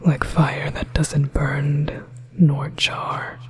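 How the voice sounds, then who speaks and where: Someone playing a possessive demon whispering real slow, even and soothing, asmr hypnosis thing. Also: medium pitch. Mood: soothing, hypnotic. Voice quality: breathy whisper. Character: possessive, coaxing demon hypnotist luring a listener into submission.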